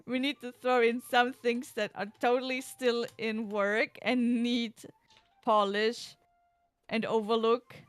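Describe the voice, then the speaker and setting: A woman streamer chatting casually about a game while playing, giving measured opinions. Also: on the high side, level tone, smooth, no room sound, faint music behind. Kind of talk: casual gaming chat.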